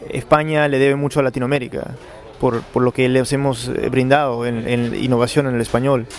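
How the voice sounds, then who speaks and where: A man speaks in an unscripted interview, weighing his words. He hesitates often and repeats himself as he builds his answers. His voice sits at an ordinary middle pitch. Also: little pitch movement, brisk, faint music behind. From Peru. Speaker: interviewed novelist.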